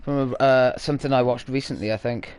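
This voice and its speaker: A man with a mid-pitched voice gives gameplay commentary. He is informal and sweary, reacting off the cuff as host of a gaming video. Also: fairly even intonation, brisk, a little mellow, smooth.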